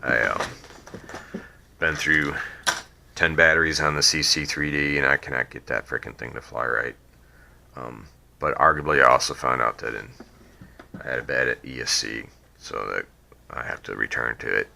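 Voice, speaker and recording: A man with a cold talks through a hobby drone build at his workbench, his voice very low and gravelly yet with a bright, forceful edge. He sounds sniffly and croaky, rambling casually with frequent ums and half-finished restarts. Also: steady tone, normal speed, pauses often. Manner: rambling, many ums and restarts, trails off quietly. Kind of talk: casual how-to build video.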